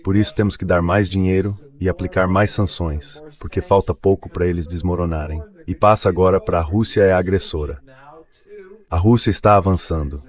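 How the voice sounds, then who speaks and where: A man's voice: low voice, steady tone, brisk. A synthetic male voice, dubbing a political commentator in discussion.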